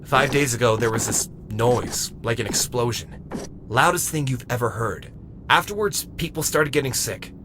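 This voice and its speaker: A man's voice: medium pitch, lively intonation, moderate pace, background noise, close to an everyday voice. A man voicing an earnest, eager robot medic in an audio drama, chatty and explanatory. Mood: grave.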